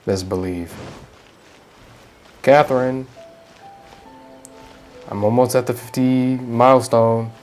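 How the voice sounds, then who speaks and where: A man's voice: mid-range voice, slow pace, pauses often, darkish tone, soft background music. A man giving casual, laid-back commentary over his gameplay, with mumbled asides. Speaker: gaming youtuber.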